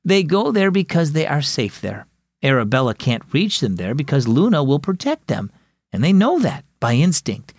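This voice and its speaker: A man voicing a gentle, patient mother who explains things reassuringly in a children's story. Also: on the high side, sing-song, moderate pace, slightly rough, no room sound, clean studio sound, full character voice.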